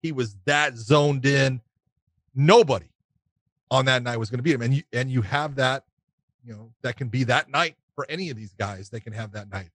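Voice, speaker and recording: A man's voice: medium pitch, moderate pace, fairly bright tone, dry recording. Emphatic man on a dry podcast mic. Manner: emphatic, repeats points for stress. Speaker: podcast co-host, former referee. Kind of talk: casual sports podcast chat.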